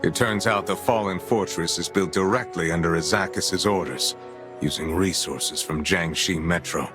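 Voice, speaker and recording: An actor playing a grave commander giving a formal, measured mission briefing in a video game. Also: male voice, deep voice, over music, natural voice. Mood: grave, resolute.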